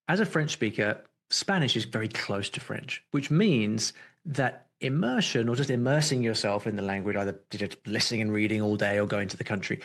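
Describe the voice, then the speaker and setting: A man hosting a podcast interview, thinking aloud with frequent restarts and fillers. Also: on the low side. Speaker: podcast host.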